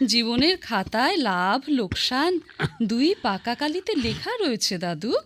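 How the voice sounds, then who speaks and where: A woman's voice: fairly high pitch, sing-song, a little slow, a bit bright, full character voice. An actress playing a young woman who coaxes and teases her sick grandfather, speaking playfully in a radio play. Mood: coaxing. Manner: playful, coaxing. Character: young woman, the old man's teasing granddaughter. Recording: broadcast radio play with faint music.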